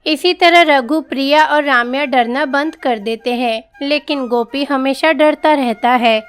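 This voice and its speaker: High-voiced woman narrating over loud music. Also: a little slow. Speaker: storyteller. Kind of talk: scripted narration of a devotional children's story.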